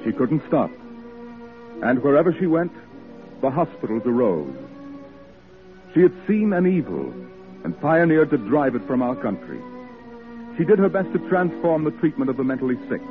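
A man narrating a 1940s radio drama in a grave, oratorical manner. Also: mid-range voice. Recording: tinny 1940s radio recording, music behind.

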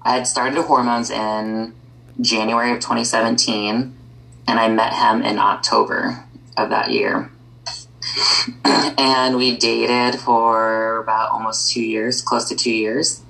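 A woman with an extremely low, gravelly voice chats as a podcast guest. She talks in an easygoing way, pausing on ums and likes as she thinks aloud. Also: little pitch movement, fairly bright tone, low-quality recording. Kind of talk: casual conversation.

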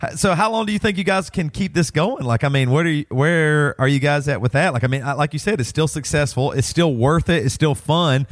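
A man's voice: on the high side, a little fast, few pauses, dry recording. Casual male podcast host, dry close sound. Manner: informal, piles questions on one another. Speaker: podcast host and band musician. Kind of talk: casual interview chat.

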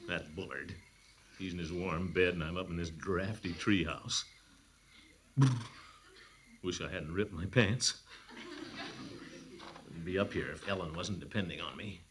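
A man's voice: low-pitched, fairly even intonation, measured pace, long pauses, noisy background. An actor playing a pompous, blustering middle-aged bachelor uncle in a 1940s radio comedy, chuckling to himself as he talks. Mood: muttering. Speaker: comic lead in a radio sitcom.

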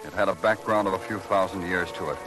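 A man's voice: sits low, steady tone, quick pace, natural voice, poor audio. A man acting a weary hard-boiled American police detective who narrates his case in clipped, dramatic old-time radio style. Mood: brooding. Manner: clipped, dramatic, brooding delivery. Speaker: lead actor and narrator. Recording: vintage radio recording with music.

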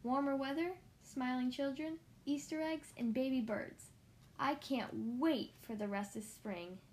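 A woman's voice: sits high, unhurried. A woman voicing a cheerful young male tank engine, eager and curious. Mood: content.

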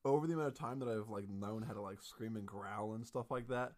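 A young adult man with a deep voice, a podcast host who talks casually and hesitantly, restarting his sentences. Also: fairly quick, darkish tone.

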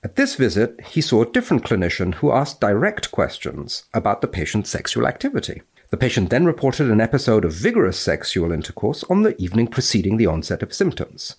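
Male scientist guest, low register, precise and measured when explaining or reading a paper aloud. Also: wildly swooping pitch, breathy edge.

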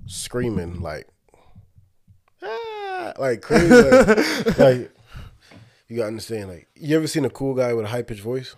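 A deep-voiced man co-hosting a casual podcast, laid-back and jokey. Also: a little slow, long pauses. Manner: laid-back, jokey, lively swings in pitch. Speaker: podcast co-host. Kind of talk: casual banter.

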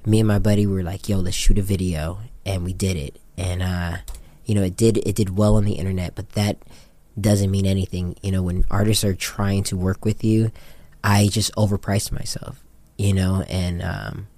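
A man's voice: deep voice, little pitch movement, moderate pace, dark tone, no echo. An American man speaking casually and candidly as an interviewed director, telling anecdotes with plenty of slang. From Japan. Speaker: interviewed music video director and photographer.

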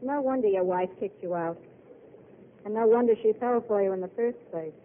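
An actress playing an earnest, outspoken young waitress with big dreams, heard on a tinny old radio broadcast. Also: female voice, mid-range voice, normal speed, lightly acted. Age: young adult. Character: dreamy, earnest young woman at a desert diner. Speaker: diner waitress. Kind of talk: 1930s radio drama acting.

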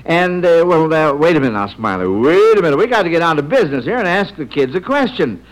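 A man's voice: fairly high pitch, big pitch swings, fast, poor audio. A man from Indiana hosting an old-time radio quiz show in a jovial, folksy, teasing way. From Indiana, United States. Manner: jovial, teasing, chuckling often; folksy, addresses children as son and honey.

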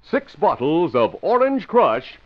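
A man's voice: on the high side, huge pitch swings, takes it slowly. A man announcing and narrating in an emphatic, theatrical vintage radio style. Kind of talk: 1950s radio announcing, dramatic scripted narration. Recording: noisy, dull vintage radio recording.

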